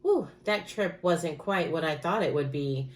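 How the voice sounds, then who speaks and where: A woman reads the part of a cheerful storybook man who loves camping. The voice is slightly low, with keen, reassuring phrases in a bouncy rhyme, as if talking to a small dog. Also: animated, measured pace. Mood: relieved. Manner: enthusiastic, reassuring, rhyming speech.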